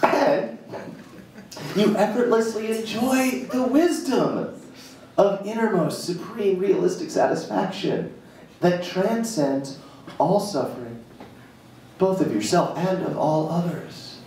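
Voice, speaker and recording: A man giving a theatrical live spoken-word reading of a monologue, with emphatic dramatic pauses and a playful manner. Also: high-pitched, darkish tone. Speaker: reader performing a written monologue. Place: indoor jazz club stage, live event. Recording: very echoey live venue, distant mic, noisy.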